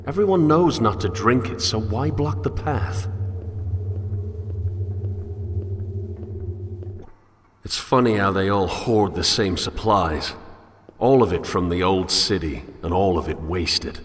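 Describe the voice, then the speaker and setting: An actor playing a brooding, philosophical loner in a video game, musing introspectively in formal, literary phrases. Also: male voice, fairly low pitch, lively intonation, moderate pace, slight background noise, lightly acted. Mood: musing, wry. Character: brooding, philosophical male loner narrating his wanderings. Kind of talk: scripted first-person game monologue. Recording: long echoing reverb under music.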